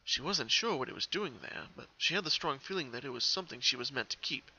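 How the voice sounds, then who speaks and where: A man's voice: mid-range voice, expressive, fast talker, pushed and bright, breathy edge, highs cut off. A man narrating a story as a dramatic reading. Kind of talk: dramatic reading of fan fiction.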